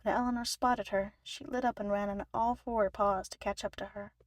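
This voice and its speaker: A woman's voice: medium pitch, steady tone, moderate pace, dry recording. A woman reading scripted fiction aloud as a narrator.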